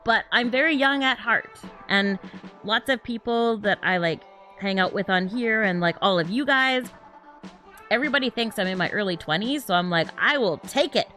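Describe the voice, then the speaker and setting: A woman's voice: medium pitch, moderate pace, bright, forceful tone, soft background music. A woman in her thirties doing live game commentary on a stream, chatty, with lots of little fillers. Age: 30s. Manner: chatty, laughs often, frequent "um" fillers. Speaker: esports caster.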